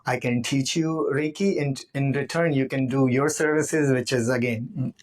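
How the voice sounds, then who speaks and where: A man who speaks English as a second language, telling his life story slowly on a podcast with many pauses. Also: mid-range voice, fairly even intonation. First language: not English. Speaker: podcast guest. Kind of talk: casual personal storytelling. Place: home studio, indoors.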